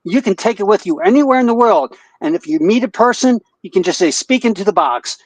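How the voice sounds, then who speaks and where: A man's voice: high voice, expressive, brisk, no room sound, low-quality recording. A man calling into a live stream, telling a joking story with chuckles. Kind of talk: joking call-in remarks.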